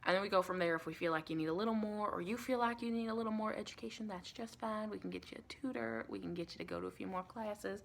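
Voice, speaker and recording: Soft-spoken, creaky woman as a chatty vampire interviewer. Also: medium pitch, quick pace, hardly any pauses, slight background noise, lightly acted. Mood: explanatory, reassuring. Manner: rambling, reassuring, endearments like honey and sweetie. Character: chatty, caring female vampire intake interviewer. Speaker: ASMR roleplayer. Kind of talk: soft-spoken improvised ASMR roleplay.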